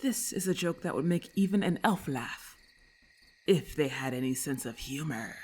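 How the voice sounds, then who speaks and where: A woman's voice: low-pitched, lively intonation, moderate pace, darkish tone, slightly rough. A woman voicing a haughty, irritable lamia in an ASMR roleplay, with hissing, imperious and formal speech, scornful and menacing.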